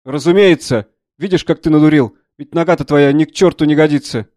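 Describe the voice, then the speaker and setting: A man voicing a gruff, irascible military surgeon with brusque, loud exclamations in a dramatised audiobook. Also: on the high side, brisk, no room sound, clean studio sound, natural voice. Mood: barking, impatient.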